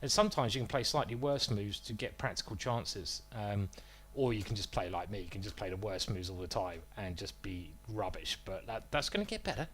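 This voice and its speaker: A man's voice: fairly low pitch, animated, brisk, fairly bright tone, breathy edge. A man streaming live, commentating his own chess moves as he plays, thinking aloud and joking about his own bad play.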